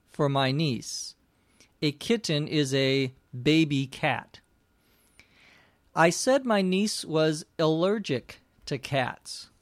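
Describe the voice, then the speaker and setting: Slow, deliberate male English teacher. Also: fairly high pitch, no room sound. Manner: slow, clear, deliberate teaching delivery with dry jokes. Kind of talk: scripted story and slow vocabulary explanation.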